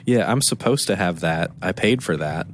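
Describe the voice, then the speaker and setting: Deep-voiced man rambling in casual chat. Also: moderate pace, a little hiss or hum. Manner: rambling, animated storytelling with quoted exchanges. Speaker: podcast co-host.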